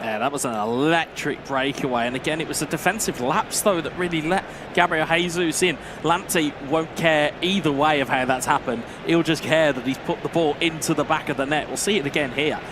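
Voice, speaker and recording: A man's voice: fairly high pitch, brisk, crowd noise, background noise. A man who once competed, giving analytical co-commentary on an esports match. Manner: thoughtful, analytical, a few restarts. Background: background music.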